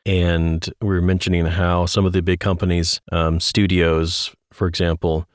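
A man's voice: sits low, flat delivery, normal speed, smooth, no room sound, studio quality. A man hosting a podcast, talking in a casual, conversational interview style.

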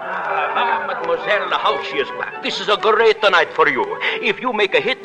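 A man's voice: high-pitched, expressive, moderate pace, over music. A man playing a worldly, insinuating café proprietor in a 1930s radio drama. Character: oily, worldly café proprietor, foreign and advising. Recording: dull vintage 1930s radio recording.

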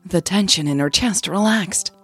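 A woman narrating a scripted romantic audio drama in a soft, measured storytelling manner. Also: lowish voice, slightly rough, soft background music. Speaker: narrator and podcast host.

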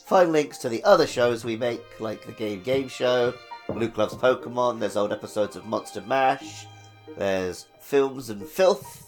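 A man co-hosting a gaming podcast, speaking in a casual, conversational way. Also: on the low side. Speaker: podcast co-host.

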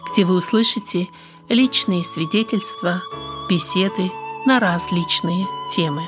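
A woman's voice: fairly low pitch, a little slow. A woman reading a scripted introduction to a radio program. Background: music with flute.

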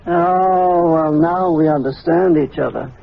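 An actor playing an eccentric, irascible older scientist in an old-time radio drama, pompous and pedantic. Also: male voice, fairly high pitch, unhurried, creaky. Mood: scornful. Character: eccentric, irascible older male scientist, self-important inventor. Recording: muffled, noisy vintage radio recording.

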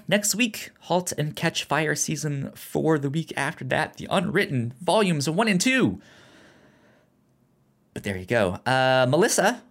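A man hosting a podcast in casual chat, speaking haltingly and often repeating the starts of words. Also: on the high side, fairly bright tone. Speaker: podcast host.

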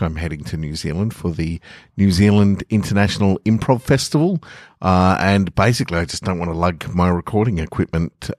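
An Australian man hosting a short-story podcast and reading fiction in an unhurried, informal way. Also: low voice, no room sound, studio quality. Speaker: podcast host and story reader. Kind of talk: casual podcast talk and narrated short fiction.

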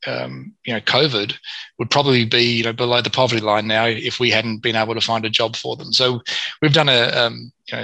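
A man's voice: medium pitch, creaky, sharp tone, dry recording, low-quality recording. A man speaking as a mining company executive in a business interview, talking with many fillers and restarts.

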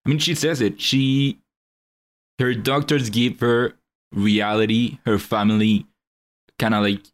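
A man's voice: mid-range voice, fairly even intonation, a little slow. A young man co-hosting a podcast in casual chat, earnestly explaining a film's story at length. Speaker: podcast co-host.

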